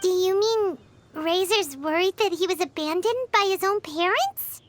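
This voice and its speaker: A woman's voice: extremely high pitch, lively intonation, slight background noise. A woman voicing a tiny, chatty floating fairy companion with a chirpy, curious way of talking in a game cutscene. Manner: chirpy, quick reactions, curious questions.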